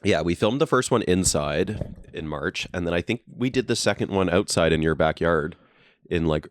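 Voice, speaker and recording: A young man trades dry, teasing banter while co-hosting a podcast. His voice sits very low, and the sound is close and dead, with no room around it. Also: lively intonation, moderate pace, no room sound. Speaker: podcast co-host. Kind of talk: casual chat. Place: friend's basement.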